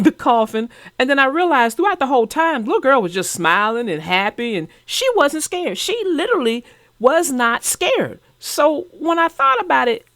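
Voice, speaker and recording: A woman with a fairly high voice talks casually as the host of a children's story channel. Her pitch moves around a lot and her delivery is expressive and conversational. The sound is close and dry, with hardly any room in it. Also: no room sound. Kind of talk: casual chat about a children's book.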